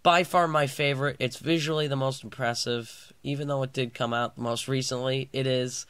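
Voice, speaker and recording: A man's voice: medium pitch, fairly even intonation, normal speed, a bit bright, clean tone, no room sound. A man with a clogged nose and sore throat, rambling casually through a movie review vlog with many fillers and restarts.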